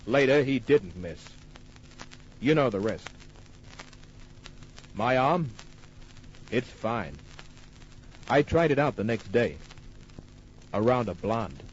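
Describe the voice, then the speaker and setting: An actor playing a tough private detective, narrating his case in clipped, wry, deadpan old-time radio style. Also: medium pitch, slow pace, long pauses, muffled recording, a little hiss or hum. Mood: matter-of-fact. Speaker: lead narrator.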